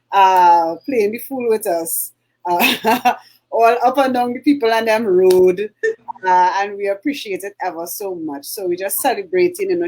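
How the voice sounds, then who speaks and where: Middle-aged woman, Trinidadian accent, chatty co-host, plenty of ums, mid-pitched voice. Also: a little mellow, low-quality recording. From Trinidad and Tobago. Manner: chatty, many fillers and throat clearing. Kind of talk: casual chat.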